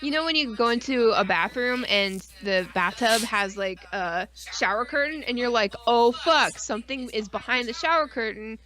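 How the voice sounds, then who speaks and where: Bright-voiced woman podcaster, casual and sweary. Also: on the high side, moderate pace, few pauses. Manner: profane, rambling, animated storytelling with acted-out asides. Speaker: podcast co-host. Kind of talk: casual chat.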